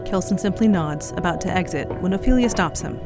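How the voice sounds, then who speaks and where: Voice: medium pitch, brisk, music in the background. A narrator reading a scripted audio drama in a descriptive storytelling manner.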